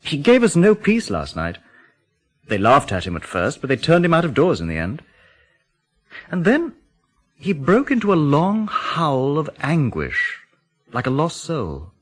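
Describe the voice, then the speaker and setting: Very expressive, genial, uneasy man. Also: fairly high pitch, a little slow, pauses often, full character voice. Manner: conversational, expressive storytelling. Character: genial, uneasy painter lodging at a remote farm. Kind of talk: radio drama acting.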